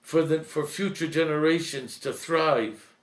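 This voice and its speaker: A man, a priest, giving an earnest, reflective talk, slowing and softening at emotional points. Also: fairly high pitch, roomy sound. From Lebanese heritage.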